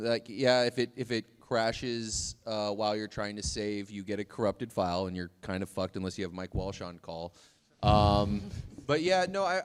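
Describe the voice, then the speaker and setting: A man hosting a casual group chat about animation, joking and excitable. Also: medium pitch, in a large hall. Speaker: host.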